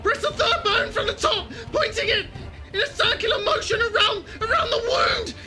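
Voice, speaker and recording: Man playing a creaky, high-pitched, blustering bar owner. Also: normal speed, sharp tone, raised voice, soft background music, full character voice. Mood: frantic, shouting. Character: frantic, flippant male beach resort bar owner. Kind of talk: audio drama acting.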